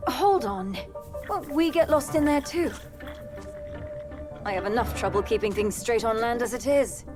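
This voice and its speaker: Candid fantasy game warrior: rather high, worried, music behind. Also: female voice, animated, unhurried. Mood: doubtful. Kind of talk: video game cutscene acting.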